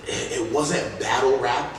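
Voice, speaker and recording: A man's voice: medium pitch, unhurried. A young man speaking as a rapper being interviewed, casually telling stories in slangy talk and acting out remembered dialogue. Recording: echoey room, distant mic, faint noise.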